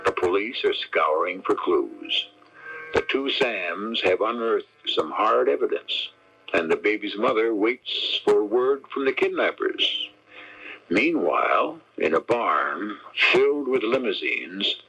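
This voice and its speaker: A man's voice: on the low side, lively intonation, slow, pushed and bright, breathy edge. A man hosting and narrating a radio mystery in a portentous, rhetorical, dramatic scripted style. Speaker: mystery series host and narrator. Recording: dull 1979 AM radio recording. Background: music.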